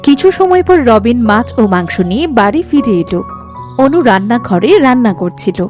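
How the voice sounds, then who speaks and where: A woman's voice: a little high, sing-song, normal speed, darkish tone, soft background music. A woman reading scripted story narration for a cartoon.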